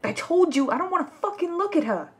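A woman's voice: very high-pitched, big pitch swings, fast, slight background noise. A woman playing an outraged female fraud investigator in an audio drama, swearing emphatically and exasperated. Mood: angry, defiant. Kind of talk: ASMR audio roleplay drama.

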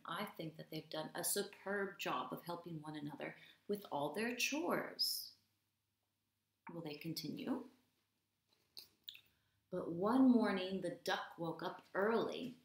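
A woman's voice: on the low side, vocal fry. A woman in her thirties, an English teacher, reading aloud expressively and explaining simply to children. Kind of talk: expressive picture-book read-aloud for young learners. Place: small home room, indoors. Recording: roomy home video sound.

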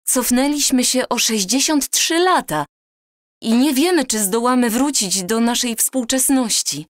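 An actress playing an earnest, courteous and insistent time traveller in an audio drama. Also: female voice, on the high side, lively intonation, unhurried, dry recording, studio quality. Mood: earnest, pleading. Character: earnest, pleading granddaughter from the future.

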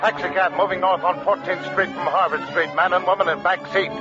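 A man's voice: high-pitched, fairly even intonation, fairly quick, natural voice. An actor in a 1950s radio drama playing a male police dispatcher, clipped, official and urgent. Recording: muffled old radio, loud music behind.

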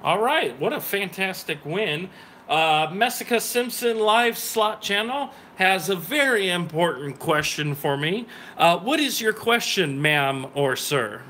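An American man hosting a casual livestream with live play commentary, excitable and given to exclamations and swearing. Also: high voice, unhurried, a little hiss or hum. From grew up in Wisconsin, United States. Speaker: livestream host. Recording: loud music behind the voice. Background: loud music, slot machine game audio.

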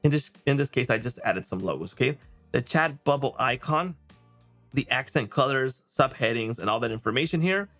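A man in his thirties hosting a casual software walkthrough, conversational, often checking in with a quick okay or right. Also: medium pitch, lively intonation. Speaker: software tutorial host. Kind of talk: casual screen-share walkthrough. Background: music.

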